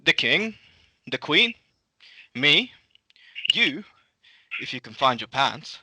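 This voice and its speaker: Man reading bright, sharp, teasing nobleman. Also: fairly high pitch, big pitch swings, slow pace, no room sound, exaggerated voice, low-quality recording. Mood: teasing, assured. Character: lazily confident, teasing nobleman in his thirties. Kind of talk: amateur script table read.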